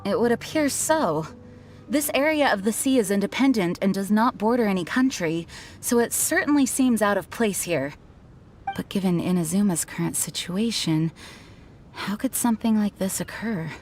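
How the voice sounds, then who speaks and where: A woman playing a dutiful young knight commander in a video game, speaking in a measured, formal and earnest way. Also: mid-range voice, animated, slight background noise, natural voice. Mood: analytical. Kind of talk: video game character acting. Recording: music behind the voice.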